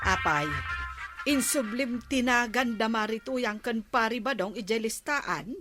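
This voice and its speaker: A woman's voice: fairly high pitch, measured pace, fairly bright tone, faint music behind. A female actor with an Ilocano accent playing a nosy, nagging village woman full of questions in a radio comedy drama. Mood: questioning. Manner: questioning, exclamatory.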